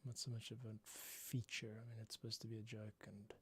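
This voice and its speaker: A man's voice: on the low side, completely flat delivery, brisk, husky. A man thinking aloud in short, casual bursts as he works as a composer on a stream. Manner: musing, talks to himself in short bursts. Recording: loud music over the voice. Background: loud music being composed.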